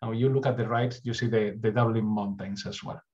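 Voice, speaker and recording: A man's voice: lowish voice, fairly even intonation, fairly quick, a little mellow, highs cut off. A middle-aged man from Spain with a Spanish accent, giving an earnest university presentation over slides with frequent restarts. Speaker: university recruitment speaker. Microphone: webcam on a video call.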